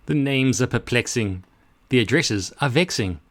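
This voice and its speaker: A man's voice: mid-range voice, animated, normal speed, natural voice. A man voicing a modest, kindly male van driver in a children's picture book, earnest and obliging. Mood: worried.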